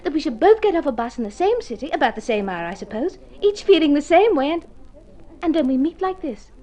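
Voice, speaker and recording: A woman's voice: high-pitched, sing-song, fairly quick, darkish tone. An actress in golden age radio drama playing an earnest, wide-eyed young woman pianist with a polite, emotive delivery. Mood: wondering.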